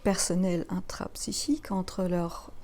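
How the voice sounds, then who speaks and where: Mellow-voiced Belgian woman, native German speaker. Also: fairly low pitch, steady tone. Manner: measured, explanatory, listing her path step by step. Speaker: guest, family constellation practitioner. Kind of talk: interview answers.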